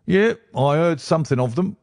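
A man's voice: fairly high pitch, expressive, creaky, no echo, studio quality, pitched above the performer's own voice. A man voicing a hearty, self-assured police inspector in a storybook reading. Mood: confident. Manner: brisk, loud, matter-of-fact.

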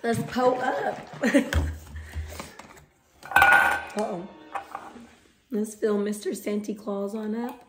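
Hoarse, congested young woman vlogging. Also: mid-range voice, steady tone, drawn-out words, long pauses, heavy background noise. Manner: chatty, rambling with many fillers. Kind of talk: casual vlog chat. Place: home kitchen.

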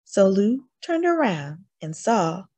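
A woman reading a children's storybook aloud as an expressive narrator. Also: mid-range voice, slow pace. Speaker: storybook reader.

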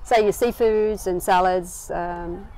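A woman's voice: fairly low pitch, takes it slowly, poor audio. Easygoing Australian woman winemaker outdoors. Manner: relaxed, many ums, easy laughs. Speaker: winery owner and winemaker. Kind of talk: casual interview chat. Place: outdoor garden verandah.

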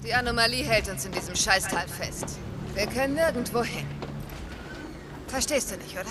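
A woman's voice: mid-range voice, moderate pace, over loud music, natural voice. An actress voicing a hardened, bitter female war commander who speaks bluntly and curtly in a game dub cutscene. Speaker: military commander. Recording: noisy ambience.

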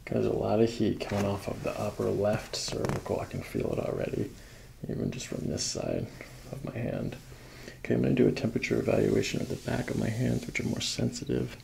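A man's voice: on the low side, fairly quick, vocal fry, warm tone, slight background noise, rough recording. A man in his thirties working as a chiropractor, talking a patient through a hands-on exam with instructions and short check-in questions. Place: small carpeted clinic treatment room. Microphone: microphone on a boom arm near the table.

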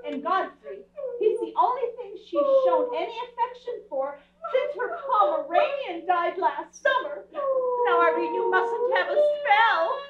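A woman's voice: very high voice, expressive, a little slow, a crowd in the background. A woman playing a scatterbrained, doting society mother in a gushing, theatrical staged radio play. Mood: fussing, pleading. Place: theatre stage before an audience. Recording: echoey stage, far mic, muffled.

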